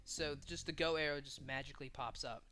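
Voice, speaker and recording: A man's voice: on the high side, brisk. A man casually and informally walking through a video game level editor as a tutorial host. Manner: informal, profane. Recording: music behind the voice. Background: loud game music.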